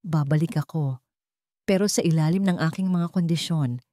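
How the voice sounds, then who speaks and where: A woman voicing a cold, steadfast young former housemaid in a Tagalog story, speaking in a firm, measured way. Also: sits low, dark tone, dry recording, studio quality, lightly acted. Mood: resolute.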